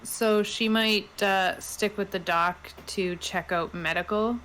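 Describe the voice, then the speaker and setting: A woman's voice: medium pitch, steady tone, takes it slowly, background noise. A woman talking casually as a player in a tabletop role-playing game.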